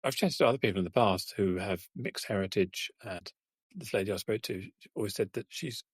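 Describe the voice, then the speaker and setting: Male podcast host, husky and fairly low, relaxed stop-start delivery, dry recording. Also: fairly quick, dry recording. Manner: relaxed, repeats words while forming thoughts. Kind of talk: interview conversation.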